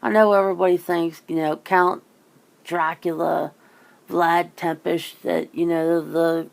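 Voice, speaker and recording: A woman's voice: on the low side, level tone, unhurried, gravelly. A woman in a casual, rambling chat, musing informally as she hosts. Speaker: ASMR channel host chatting with a guest.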